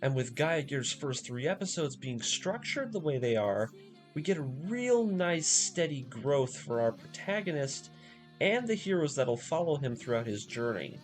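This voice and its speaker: A man giving scripted narration in the manner of a video essay, telling a story dramatically with emphatic pauses. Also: medium pitch, big pitch swings, faint music behind.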